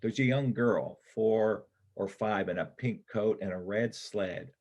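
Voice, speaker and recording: A man playing a doubtful, wistful bank robber on the run in a reader's theater play, speaking in a hesitant, halting way. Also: fairly low pitch, a little mellow. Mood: wistful, dreamy. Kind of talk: reader's theater stage acting.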